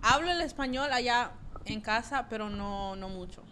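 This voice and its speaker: A woman in her twenties from California, a slightly shy and soft-spoken guest on a talk show, speaking into a handheld mic. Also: a little high, moderate pace, a crowd in the background. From California, USA. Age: 20s. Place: small indoor room.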